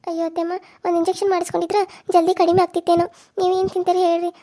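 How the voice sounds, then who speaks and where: A woman's voice: very high-pitched, little pitch movement, rapid-fire, warm tone. A woman voicing a frightened young daughter-in-law who speaks in a tearful, pleading North Karnataka way. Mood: gentle. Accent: North Karnataka Kannada.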